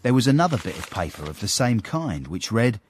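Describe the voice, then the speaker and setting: An actor playing a wry, knowing gentleman's manservant who narrates a period radio drama in a droll manner. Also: fairly low pitch, sing-song, brisk, slight background noise. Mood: amused. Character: wry, knowing gentleman's manservant recounting his master's swindles. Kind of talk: in-character storytelling in radio drama.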